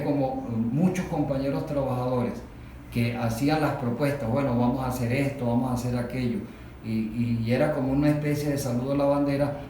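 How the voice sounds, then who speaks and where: A Venezuelan man talks off the cuff in an explanatory way, often asking a question to answer it himself. His medium-pitched voice comes from some distance in a very reverberant room, with faint noise. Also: darkish tone. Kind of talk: spontaneous discussion of workplace issues.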